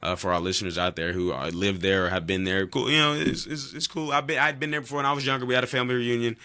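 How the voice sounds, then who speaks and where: Rambling bright-voiced man in his thirties. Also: medium pitch, steady tone, fast talker, smooth, no echo. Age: 30s. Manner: rambling, profane, trails off. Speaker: podcast host. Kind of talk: casual chat.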